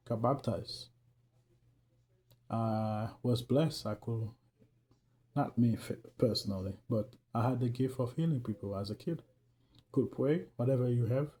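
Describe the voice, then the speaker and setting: Mellow-voiced man with a Haitian accent. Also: fairly low pitch. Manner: earnest, loose and chatty, laughs often. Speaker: faith vlogger. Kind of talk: casual talk to camera.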